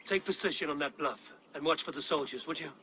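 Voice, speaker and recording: An actor playing a steadfast, blunt Norse warrior with a declamatory streak in a dramatic video game. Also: male voice, fairly high pitch, quick pace, sharp tone, slight background noise.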